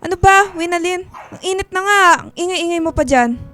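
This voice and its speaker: A woman's voice: very high voice, normal speed, a bit bright, soft background music. A woman playing a nagging, demanding middle-aged mother who whines and complains in a radio drama. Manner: whiny, complaining.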